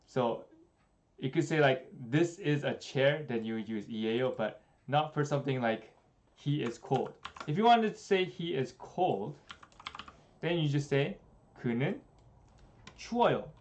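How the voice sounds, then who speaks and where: A young man in a small room giving a relaxed, chatty livestream language lesson, slowing down to spell out examples. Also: mid-range voice. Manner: relaxed, chatty, many fillers, slows down for examples. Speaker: Korean language teacher.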